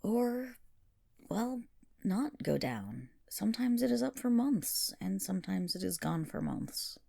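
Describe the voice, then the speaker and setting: A woman playing an affectionate, teasing selkie in an ASMR roleplay, speaking softly and breathily with a lilt and fond scolding. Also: medium pitch, sing-song, unhurried, vocal fry, full character voice. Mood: wistful, gentle.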